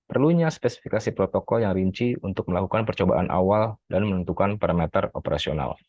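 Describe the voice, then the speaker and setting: A man's voice: sits low, no room sound, dull audio. A male graduate student steadily reading a prepared academic article review, with occasional self-corrections. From Indonesia.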